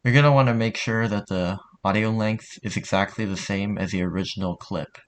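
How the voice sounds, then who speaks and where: A man with a fairly low voice walks through a tutorial step by step. He speaks informally and offhand, like someone casually showing a friend how it is done. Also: flat delivery, moderate pace. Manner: informal, offhand, mildly sweary. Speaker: tutorial narrator.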